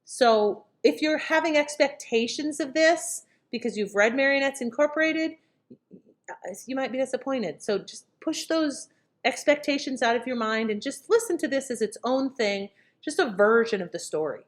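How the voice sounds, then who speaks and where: A woman's voice: sits high, lively intonation, moderate pace. A woman speaking casually as the host introducing a show.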